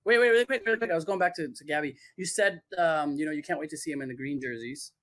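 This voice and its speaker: A man's voice: a little high, quick pace, a bit bright, dry recording. A man talking as a podcast guest about branding work, loose and chatty with many fillers. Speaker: podcast guest who works on athlete branding. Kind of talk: casual podcast chat.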